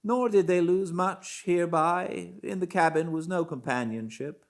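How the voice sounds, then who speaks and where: A British man reading a classic novel aloud as an audiobook narrator, in a measured, theatrical manner with dramatic pauses. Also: high voice. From Britain. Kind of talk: expressive literary audiobook narration.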